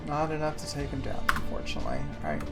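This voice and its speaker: A woman's voice: deep voice, big pitch swings, fast talker, over music. Giggly woman running a tabletop game. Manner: loose and giggly, many fillers and laughs. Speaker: game master.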